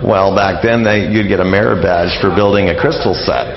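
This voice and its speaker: A man being interviewed on the radio, explaining and reminiscing informally in a halting, anecdotal way. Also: low voice. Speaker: radio interview guest on old-time radio history. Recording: dull lo-fi radio, roomy, crowd behind.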